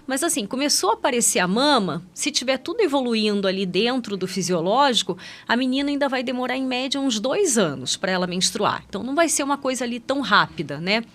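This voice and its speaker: High-pitched Brazilian woman explaining. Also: animated, fast, few pauses, a bit bright. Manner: explanatory, often seeks agreement with tag questions. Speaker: guest pediatric endocrinologist. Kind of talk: conversational podcast interview explanation.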